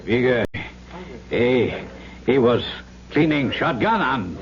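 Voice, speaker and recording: An actor playing a servile, evasive island native bartender who stammers in halting pidgin English in an old-time radio drama. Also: male voice, medium pitch, big pitch swings. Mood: nervous, evasive. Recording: muffled radio recording, bar crowd behind.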